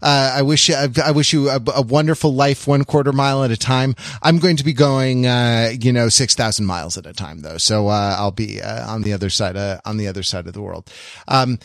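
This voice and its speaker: A man hosting a podcast in casual conversation, talking in a halting, digressive way as he thinks aloud. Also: mid-range voice, creaky, no room sound, clean studio sound. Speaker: podcast host.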